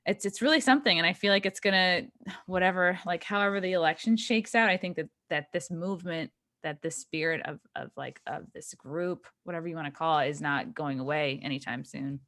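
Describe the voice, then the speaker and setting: A woman's voice: on the low side, brisk, fairly bright tone, dry recording. A woman hosting a podcast, chatty and wry, with lots of filler words. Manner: chatty, wry, full of like and you know. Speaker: podcast host. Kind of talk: casual podcast conversation.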